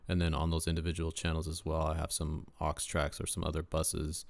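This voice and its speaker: A deep-voiced young man, a rapper casually walking through his mixing setup in a relaxed way, with lots of ums and uhs. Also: a little fast, vocal fry, no echo. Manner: laid-back, lots of uh and um fillers. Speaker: rapper and home mixing engineer. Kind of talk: casual screen-share mixing tutorial.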